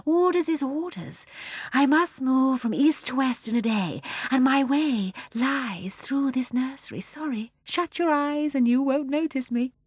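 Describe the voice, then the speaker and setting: A woman voicing polite, apologetic talking sunlight from a storybook, breathy and plain-spoken. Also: high-pitched, unhurried, no room sound, pitched above the performer's own voice.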